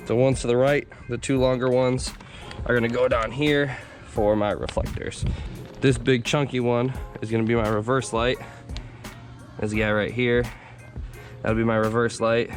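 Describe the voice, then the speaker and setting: Car vlogger, male, morning-hoarse and raspy, laid-back rambling delivery, mid-pitched, faint music underneath. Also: measured pace. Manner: relaxed, rambling, many fillers. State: hoarse and raspy from just waking up. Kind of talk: casual how-to vlog. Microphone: handheld camera.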